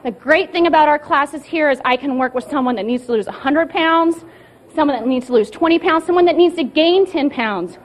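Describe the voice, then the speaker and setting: An enthusiastic woman fitness instructor with a high voice pitches her gym's classes. She answers into a reporter's handheld mic inside an indoor gym. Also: a little fast. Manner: enthusiastic, promotional. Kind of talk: live TV interview answers.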